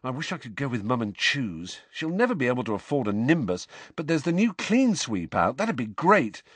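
A man voicing an awkward, excitable teenage boy in an audiobook, easily embarrassed and a bit hesitant. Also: mid-range voice, slow, dry recording, pitched above the performer's own voice, heavily acted. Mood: doubtful, then eager. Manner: hesitant, muttering.

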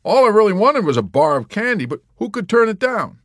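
A man putting on a loud, needling young soldier. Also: sits high, sing-song, a little fast, no echo, studio quality, voice pushed up, full character voice. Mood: aggrieved. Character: sardonic, agitated young soldier in hospital.

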